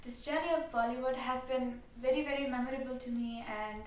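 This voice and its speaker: A woman's voice: high-pitched, steady tone, brisk. Eager young woman, echoey room. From South India. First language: Telugu. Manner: eager, gushing, many "you know" fillers. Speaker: guest singer. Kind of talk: casual interview chat. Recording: echoey room, distant mic, faint hiss.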